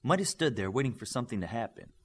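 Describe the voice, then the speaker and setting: Man narrating fiction with animated pitch. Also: fast talker, husky. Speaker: audiobook reader. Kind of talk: scripted fiction narration with character voices.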